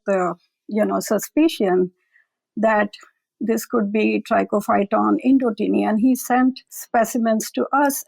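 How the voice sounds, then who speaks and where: A woman, a lab director and guest on an interview podcast, explaining her scientific work in a measured, conversational way. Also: mid-range voice, creaky, darkish tone, no echo, rough recording. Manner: measured, explanatory, occasional fillers.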